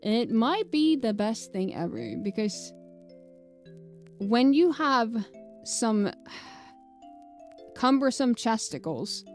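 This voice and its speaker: Streamer: woman with a light Swedish accent, slow and rambly, stumbles over words, laughs a lot, a touch high-pitched. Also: expressive, darkish tone, faint music behind. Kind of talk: casual live-stream chat.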